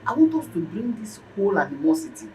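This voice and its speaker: A woman's voice: lowish voice, sing-song, fast talker, darkish tone. Domineering mother, swooping emphatic voice. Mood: contrite, pleading. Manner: emphatic, repetitive, declamatory. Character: domineering mother and stepmother, fierce then ingratiatingly apologetic. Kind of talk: melodramatic film acting. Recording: clear background noise.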